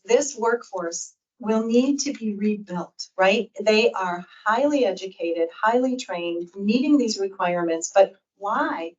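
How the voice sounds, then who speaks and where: A woman's voice: medium pitch, darkish tone, recorded from a distance, dull audio. A middle-aged woman advocating for child care on a panel, speaking emphatically and earnestly, often with rhetorical questions. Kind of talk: panel discussion. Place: event room with audience.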